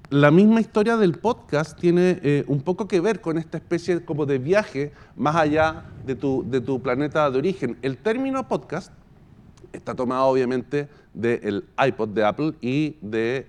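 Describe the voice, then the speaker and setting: A Chilean man giving a semi-improvised conference talk in a halting, thoughtful way, often pausing mid-phrase. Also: medium pitch. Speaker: podcast company founder giving a presentation.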